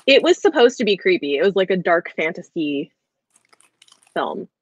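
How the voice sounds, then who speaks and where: Woman, thirties, book livestream host: lowish voice, rambling and informal, close dry sound with slightly muffled video-call quality. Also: big pitch swings, moderate pace, breathy edge, dry recording. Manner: hesitant, rambling with frequent "like". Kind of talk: casual livestream book chat. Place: small room at home, video call. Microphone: earbuds on a video call. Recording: dull video-call audio.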